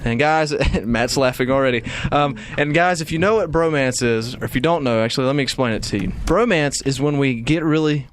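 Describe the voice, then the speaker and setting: A man's voice: mid-range voice, a little fast, hardly any pauses, a little hiss or hum. A young man hosting a casual morning radio chat on a studio microphone. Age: young adult. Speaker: radio show host.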